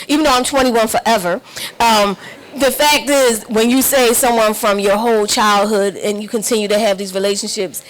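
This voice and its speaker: A woman hosting a casual interview, playful and quick to banter with and affirm her guests. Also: a little high, low-quality recording. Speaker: interview host.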